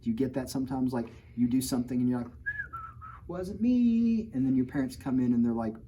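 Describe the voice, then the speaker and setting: A man's voice: medium pitch, little pitch movement, racing, background noise. A man reading a picture book aloud to children in a playful, conversational way, breaking off to chat and ask them questions. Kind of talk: animated storytime read-aloud with chatty asides to children.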